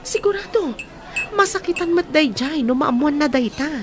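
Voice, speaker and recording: An actress with a Filipino accent playing a frank, concerned young best friend in a radio drama. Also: female voice, sits high, expressive, moderate pace, a little hiss or hum.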